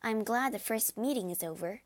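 A woman voicing a gentle, sweet-natured young woman who speaks softly and earnestly, pitched above her own voice. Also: normal speed, natural voice. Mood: calm.

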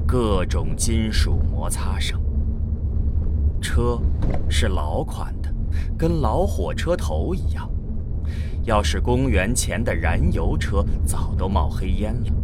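A man's voice: fairly low pitch, noisy background. A man gives dramatic scripted narration for a science fiction audio drama, in a storytelling style. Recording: background music under the voice. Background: music.

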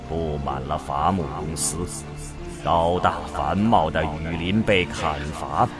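A man acting a grave, visionary scientist in a science fiction radio drama, speaking in solemn, deliberate oratory, convinced he has saved the world. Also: extremely low pitch. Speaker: voice actor in an audio drama. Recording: music behind the voice.

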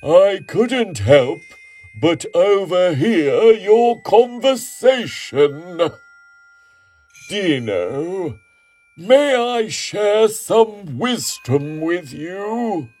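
A man voicing a wise, kindly old male Triceratops in a children's storybook, speaking slowly and instructively. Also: high voice, sing-song, darkish tone, slightly rough, heavily acted. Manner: slow, lofty and instructive.

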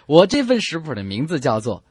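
A man's voice: on the high side, huge pitch swings, brisk, a little mellow, slightly rough, no echo. A man co-hosting a radio comedy show, telling scripted jokes and bantering.

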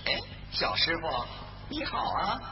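A performer voicing a weary, lazy, grumbling monk in a children's audio story, wheedling with drawn-out sighs. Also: medium pitch, sing-song. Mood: friendly. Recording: dull roomy recording with music behind.

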